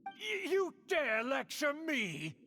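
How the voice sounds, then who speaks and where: An imperious older aristocrat from a fantasy video game. His voice sits high for a man and swings widely, pompous and easily affronted, with faint music underneath. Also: huge pitch swings, slow pace, bright, forceful tone, full character voice. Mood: outraged. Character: haughty older aristocratic uncle, scheming and indignant.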